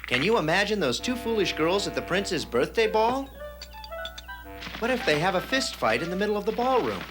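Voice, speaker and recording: A woman voicing a small male animal sidekick in a cartoon fairy tale, friendly, loyal, chatty and expressive. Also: mid-range voice, moderate pace, over music, close to an everyday voice.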